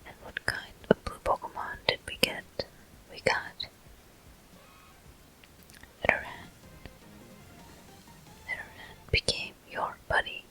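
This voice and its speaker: A person whispering gentle, unhurried ASMR commentary as a video game walkthrough host. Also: faint music behind, poor audio. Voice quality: soft, breathy whisper.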